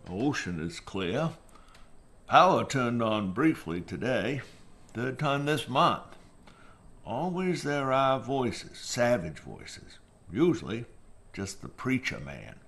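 An actor playing a solitary, weathered survivor dictating a log in an audio drama. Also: male voice, mid-range voice, fairly bright tone, full character voice.

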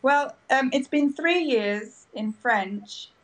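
Upbeat higher-voiced woman guest. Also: animated, slow. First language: English. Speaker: guest, podcaster. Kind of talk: casual interview answers.

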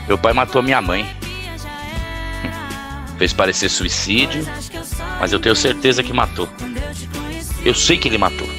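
Man acting anguished low-voiced young heir. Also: measured pace, pauses often, music in the background, natural voice. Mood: bitter, fearful. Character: anguished young rich heir convinced his father is murderous. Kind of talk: audio drama acting.

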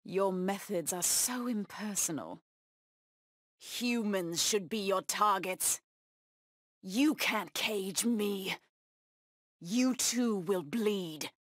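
An actress voicing a fierce, bloodthirsty feline huntress in a video game, with a menacing, haughty delivery. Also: female voice, medium pitch, drawn-out words, long pauses, no room sound, clean studio sound. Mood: menacing, predatory. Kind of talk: video game voice acting, short combat taunts.

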